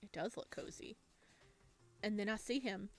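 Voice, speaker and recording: A woman reading a video game's text aloud and chatting with expressive, excited reactions as she plays. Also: mid-range voice, moderate pace, no room sound. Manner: chatty, expressive asides between read lines. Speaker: video game streamer, let's player. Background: game music.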